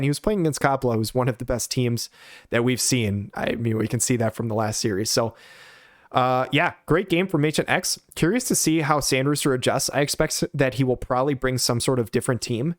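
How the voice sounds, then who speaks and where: A man in his thirties giving quick, excited play-by-play of a game on a headset mic, his voice hoarse from a cold. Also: mid-range voice. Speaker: game caster. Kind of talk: play-by-play commentary.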